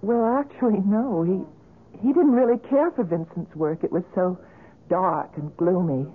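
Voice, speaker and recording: A woman's voice: on the low side, measured pace, close to an everyday voice. An actress playing a polite, persistent young woman, earnest and hesitant, in a 1970s radio drama style. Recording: thin, phone-like old radio recording.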